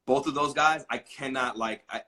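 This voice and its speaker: A man with a bright, forceful mid-pitched voice, joking around on a casual live-stream chat. He stumbles over his words and restarts his sentences. He sounds like an actor talking into a phone or laptop. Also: moderate pace. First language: English. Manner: jokey, stammering restarts. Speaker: actor, co-star guest.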